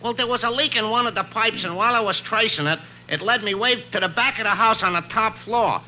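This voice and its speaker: An actor playing a cheeky, unlettered working-class plumber with colloquial speech in old-time radio comedy style. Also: male voice, high voice, fairly quick, bright, forceful tone, poor audio. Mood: matter-of-fact. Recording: humming vintage radio recording, faint noise.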